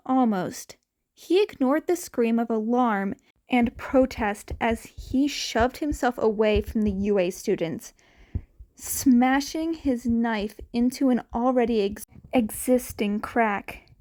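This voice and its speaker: A woman narrates a story from a script in a fairly high voice. She takes her time, reading very slowly and deliberately, and now and then trips slightly over a word. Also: dark tone. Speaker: podfic narrator. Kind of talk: scripted fan fiction narration, read aloud.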